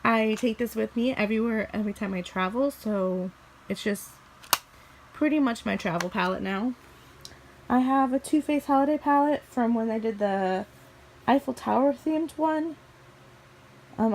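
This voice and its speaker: A woman's voice: a little high, unhurried, darkish tone. Chatty young woman, slightly scratchy voice. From Missouri, USA. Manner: chatty, rambling, small restarts and side remarks. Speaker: beauty vlogger. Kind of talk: casual makeup collection chat. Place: bedroom, indoors.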